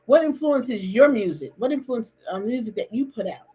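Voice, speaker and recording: Woman interview host, husky-edged, chatty, repeats herself, casual call-in feel. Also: a little high, lively intonation, moderate pace, mellow. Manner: chatty, stumbling restarts and repeated words. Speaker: podcast host. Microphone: remote streaming call.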